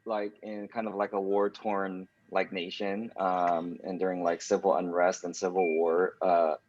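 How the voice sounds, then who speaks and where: A lower-voiced man hosting a church webinar over a video call. He talks casually and hesitantly, with plenty of "like" and "um". The audio is dry and a bit dull and lo-fi. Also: level tone, unhurried, a little mellow, no echo. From United States. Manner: hesitant, many fillers and "like".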